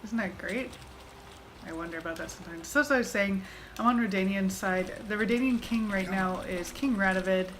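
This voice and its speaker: A woman's voice: medium pitch, fairly quick, a little hiss or hum. A woman giving casual, explanatory commentary as she plays through a video game. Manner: informal, explanatory. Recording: loud game ambience behind voice.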